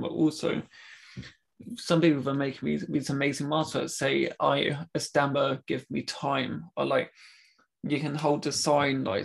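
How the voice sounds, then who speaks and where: A man's voice: on the high side, creaky, slightly rough. A young British man with a mild stammer, talking casually and hesitantly about his experience. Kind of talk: casual conversation.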